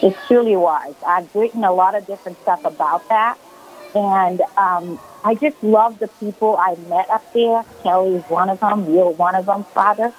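A woman's voice: medium pitch, moderate pace, muffled recording, soft background music. A woman in her forties from Brazil, a guest on a radio call-in interview, telling her story earnestly with many fillers. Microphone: phone call.

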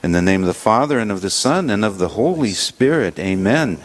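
A man hosting a religious radio show, leading prayer and introducing guests in a halting way with many fillers. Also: on the low side, lively intonation.

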